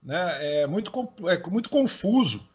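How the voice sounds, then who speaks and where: A man's voice: sits high, big pitch swings, unhurried, slightly rough. A man from Salvador, a university professor co-hosting, who talks casually and hesitates a lot. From Salvador, Bahia, Brazil. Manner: hesitant, many fillers. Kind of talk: casual interview chat.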